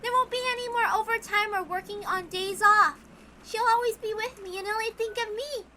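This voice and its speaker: A woman's voice: extremely high pitch, fairly even intonation, brisk, bright, forceful tone, slight background noise, pitched above the performer's own voice. A woman voicing an eerie childish girl who speaks in a sing-song, matter-of-fact way about morbid things, in a dramatic reading. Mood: blissful, eerie. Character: eerie childish girl gleefully obsessed with a witch's resurrection.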